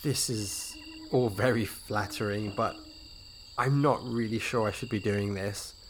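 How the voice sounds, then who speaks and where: A man playing a nervous, halting dog walker. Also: lowish voice, fairly even intonation, slight background noise, lightly acted. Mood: bewildered. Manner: hesitant, stammering. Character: nervous, bewildered man out walking his dog. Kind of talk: comedy-horror audio drama acting.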